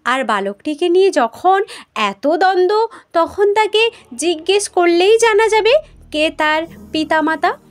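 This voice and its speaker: A woman's voice: extremely high pitch, expressive, a little slow. A woman storyteller voicing an eager mother goddess, urging and full of longing, in a mythological tale. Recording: music behind the voice.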